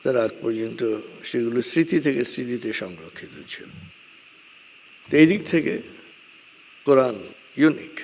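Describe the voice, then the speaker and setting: Gravelly man lecturing in a reverberant hall. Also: mid-range voice, animated, darkish tone. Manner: deliberate, short phrases with frequent pauses. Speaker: religious speaker. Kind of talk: measured religious lecture, partly scripted narration. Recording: long hall reverb, clear background noise.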